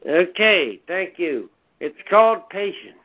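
Fifty-something guy cracking jokes, talks slow, tinny phone sound. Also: high voice, sing-song. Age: 50s. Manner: joking, wisecracking. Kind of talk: casual chat.

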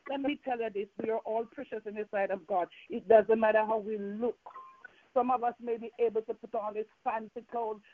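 A woman's voice: fairly high pitch, fairly even intonation, brisk, no echo. Jamaican woman preaching over a tinny line. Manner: fervent, repetitive preaching with rhetorical questions. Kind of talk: evening sermon with call and response. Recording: tinny phone-line sound.

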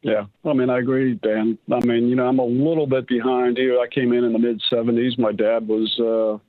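A man's voice: mid-range voice, little pitch movement, a little fast, dry recording. Dry, muffled older American man. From United States, raised on military bases. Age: 60s. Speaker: guest, retired army general. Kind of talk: conversational interview answers. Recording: slightly muffled, lo-fi radio line.

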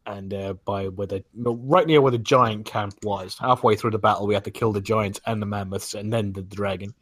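Low-voiced man chatting about games. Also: steady tone, moderate pace, fairly bright tone. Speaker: podcast panelist. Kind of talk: casual gaming roundtable chat.

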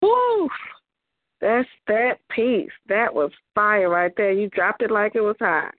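A woman's voice: medium pitch, expressive, takes it slowly, no echo, narrow, tinny audio. A woman hosting a call-in poetry radio show, upbeat and hyping up the callers.

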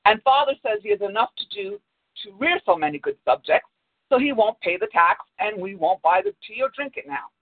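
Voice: medium pitch, big pitch swings, brisk, no room sound. Cheerful young porter lad, read out by a storyteller over a tinny line; plain reading, barely acted. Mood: earnest.

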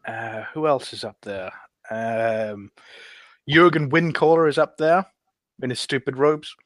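Man, medium pitch, dry sound, casual podcast storyteller, rambling and halting. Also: a bit bright, no room sound. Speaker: podcast panelist. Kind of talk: casual chat, recounting gameplay.

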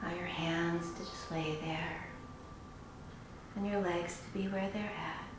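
A woman's voice: on the low side, steady tone, heavy background noise. Soothing woman meditation guide, very echoey. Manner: soothing, unhurried, pausing between phrases. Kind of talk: guided meditation, slow instruction. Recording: very echoey room with music. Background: meditation music.

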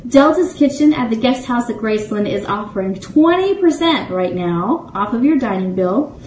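A woman's voice: on the high side, animated, normal speed, a little mellow. A woman hosting a livestream show, chatting enthusiastically to viewers as she reads announcements and sponsor plugs. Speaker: radio network show host. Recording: roomy echo, distant mic, faint noise.

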